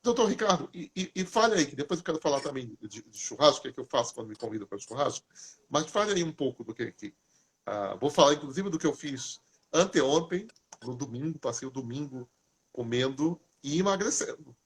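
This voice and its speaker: Lively husky man giving casual tips. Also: mid-range voice, fairly quick. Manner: lively, chatty, asks questions then answers himself. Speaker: host. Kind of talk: casual live talk giving diet tips.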